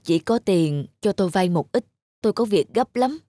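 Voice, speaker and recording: A woman's voice: mid-range voice, expressive, moderate pace, warm tone, lightly acted. A woman playing a patient, self-sacrificing middle-aged village mother in a cartoon. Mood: hesitant. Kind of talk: cartoon voice acting.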